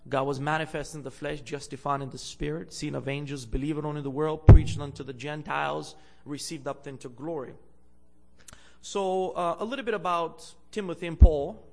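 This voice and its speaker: Young male guest preacher from Bulgaria, slightly high and gravelly, halting delivery with fillers. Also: steady tone, slight background noise. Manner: hesitant, many fillers, louder when preaching and reading scripture. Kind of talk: sermon. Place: church.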